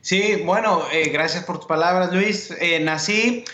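Mexican man, 40s, high voice in a roomy space, relaxed joking storyteller. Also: unhurried. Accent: Mexican Spanish. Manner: relaxed storytelling, joking, uses "compadre" and "brother". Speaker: TV sports and entertainment host, guest. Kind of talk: casual interview chat.

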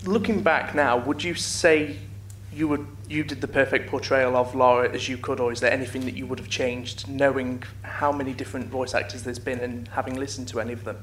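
A polite male fan with a mid-range voice who phrases his question haltingly. The sound is echoey, with faint room noise behind him. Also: mid-range voice, steady tone, fairly bright tone.

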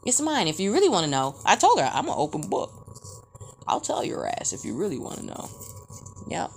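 A woman in her late twenties talking in a casual, rambling monologue, profane and animated, full of fillers. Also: mid-range voice, fairly quick, vocal fry, slight background noise. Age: 20s.

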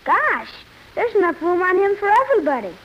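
A woman's voice: very high voice, sing-song, brisk, background noise. A woman playing a cute, curious little boy in a 1950s radio comedy. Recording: muffled old radio broadcast.

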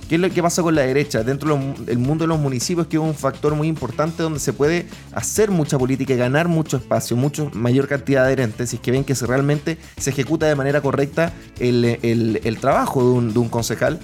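A Chilean man hosting a casual political interview, asking rambling, halting questions as he works toward his point. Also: medium pitch, a little mellow, faint music behind. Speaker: podcast interviewer.

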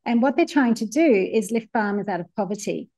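A woman's voice: fairly high pitch, lively intonation, brisk, dark tone. A woman from New Zealand, a work futurist and innovation coach, answering interview questions in an upbeat, enthusiastic way.

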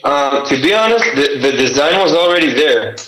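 A man's voice: on the high side, expressive, moderate pace, reverberant, background noise. A young man with an Israeli accent, a native Hebrew speaker, answering interview questions about his art with many fillers and restarts. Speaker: artist and t-shirt designer.

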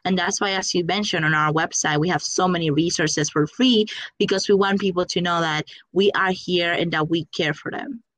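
A woman's voice: lowish voice, steady tone, moderate pace, hardly any pauses, a bit bright, poor audio. A young woman from the Dominican Republic, a Spanish speaker, answering interview questions about her career coaching firm with enthusiasm and many fillers.

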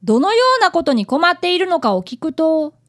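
A woman's voice: very high voice, sing-song, fairly quick. A woman playing an earnest, polite fifth-grade boy apprentice detective who narrates a radio drama. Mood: narrating, wry.

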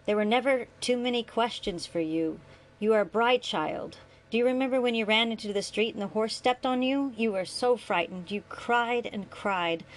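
Give me a woman playing a soft-spoken, guilt-ridden father. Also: mid-range voice, natural voice, rough recording. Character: remorseful middle-aged father, gentle and guilty.

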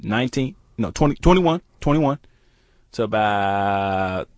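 A man hosting a comedy sketch, pushy and joking as he pitches a scheme. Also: lively intonation, unhurried, no room sound, studio quality.

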